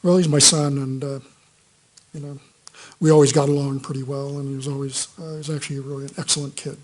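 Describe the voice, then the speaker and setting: A middle-aged man giving hesitant, soft-spoken testimony as a witness on a courtroom microphone. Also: mid-range voice, flat delivery, quick pace, rough recording. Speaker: trial witness.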